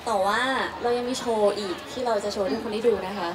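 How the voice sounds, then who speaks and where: A woman's voice: medium pitch, fairly even intonation, fast talker. A young woman idol chatting on stage between songs and introducing the next number. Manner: bubbly emcee, introducing the next song. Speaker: pop idol member. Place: outdoor beach concert stage. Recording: distant PA, loud cheering crowd.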